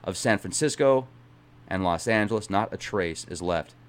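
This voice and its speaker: A man's voice: lowish voice, expressive, moderate pace. A man hosting an online channel, reading a book aloud with dramatic emphasis and breaking off for casual asides. He sometimes stumbles over words and corrects himself.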